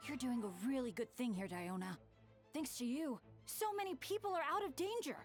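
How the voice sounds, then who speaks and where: A woman's voice: sits high, expressive, moderate pace, faint music behind. A woman voicing a plucky, eager, good-hearted young adventurer boy in an anime-style game. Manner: earnest, upbeat.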